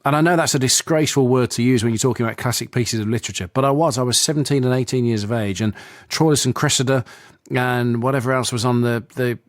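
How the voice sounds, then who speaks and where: A man's voice: medium pitch, fast talker, no echo, studio quality. Chatty male radio presenter. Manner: chatty, enthusiastic, rambling questions. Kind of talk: radio interview. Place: radio studio.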